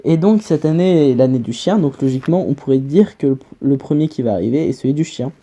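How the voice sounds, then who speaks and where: A man's voice: mid-range voice, animated, fairly quick, dark tone. A man co-hosting an online fan theory video in casual chat with a partner.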